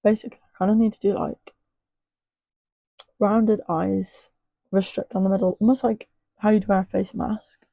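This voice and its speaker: A young woman chatting casually through a makeup tutorial, her voice a little hoarse as if it is going. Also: flat delivery, moderate pace, long pauses, no room sound, rough recording. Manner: chatty, rambling, soft and low at times. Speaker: makeup artist.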